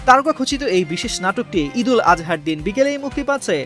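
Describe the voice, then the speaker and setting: A man's voice: very high-pitched, animated, fast talker, a bit bright, faint music behind. A man with a Bangladeshi accent reading scripted entertainment news as a narrator. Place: voice-over over background music.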